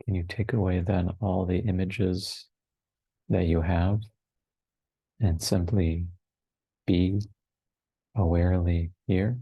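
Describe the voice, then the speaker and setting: A man in his forties leading a guided meditation in a hushed, unhurried way, with long pauses between short phrases. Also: low voice, steady tone, slightly rough, no echo, dull audio. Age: 40s. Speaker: meditation teacher. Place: online retreat over video call, dry close sound.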